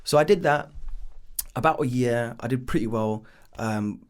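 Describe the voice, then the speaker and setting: A man's voice: mid-range voice, moderate pace, clear, resonant tone. A man in his thirties with a London accent, raised there after being born in Bangladesh. He tells his life story on a podcast in a rambling, casual way, full of ums and "should I say". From born in Bangladesh, raised in East London. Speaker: business founder guest.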